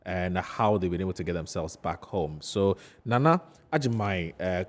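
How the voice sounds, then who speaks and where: A man with a Ghanaian accent hosting a studio interview, phrasing things haltingly and often repeating himself. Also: low-pitched, lively intonation. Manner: hesitant phrasing, repeats questions back. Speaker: TV talk show host.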